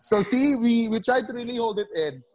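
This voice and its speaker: A man's voice: very high-pitched, expressive, brisk, a little mellow. A man teaching an online English class over a video call, coaxing students to answer and often repeating names and words.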